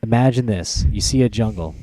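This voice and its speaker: A man's voice: on the low side, a little fast, warm tone, no echo, poor audio. A man hosting a casual podcast, thinking aloud with hesitations and repeated words. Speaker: podcast host counting down a list.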